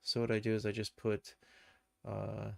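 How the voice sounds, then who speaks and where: A man giving a casual live software tutorial, thinking aloud and muttering to himself as he works. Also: on the low side, monotone, moderate pace, creaky, no echo, studio quality. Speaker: streamer, animation tutor.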